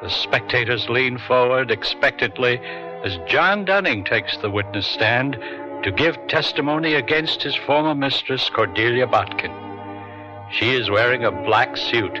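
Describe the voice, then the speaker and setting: A man's voice: medium pitch, close to an everyday voice. A man narrating an old radio courtroom drama in a scripted, storytelling style. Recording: dull lo-fi radio with music.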